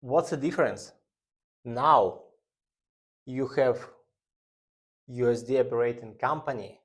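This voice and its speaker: Slow, halting male finance instructor. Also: mid-range voice. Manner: halting, deliberate delivery in short phrases. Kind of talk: explanatory lecture.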